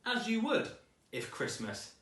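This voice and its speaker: A man's voice: sits high, wildly swooping pitch, a little slow, vocal fry, sharp tone. A man reading a children's storybook aloud with dramatic, rhythmic delivery, recorded at a distance in an echoey room.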